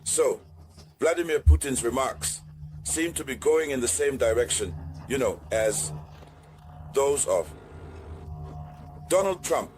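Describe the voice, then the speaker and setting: A man presents political analysis as the host of an online news channel. He addresses his viewers directly in a mid-pitched voice. His delivery is deliberate, and he often restates key phrases to stress them. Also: expressive, a little mellow, slight background noise.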